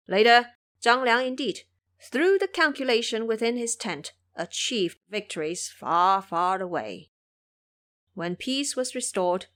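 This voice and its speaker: A woman's voice: medium pitch, fairly bright tone. A woman voicing a wise old male dragon king in an audio drama, formal, earnest and persuasive. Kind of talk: audio drama character acting.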